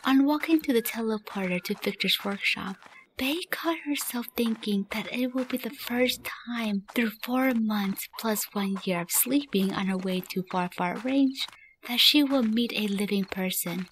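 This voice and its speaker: A woman's voice: a little high, unhurried, fairly bright tone, slight background noise, low-quality recording. A woman reading scripted story narration as the narrator of a fan radio play. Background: music.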